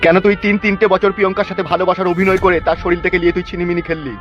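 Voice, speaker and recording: A man's voice: high-pitched, flat delivery, natural voice. A man voicing a bossy, furious older man in a comedy cartoon, scolding and cursing. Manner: scolding, cursing, rapid-fire. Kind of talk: Bengali comedy cartoon dub. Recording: muffled lo-fi sound, music behind.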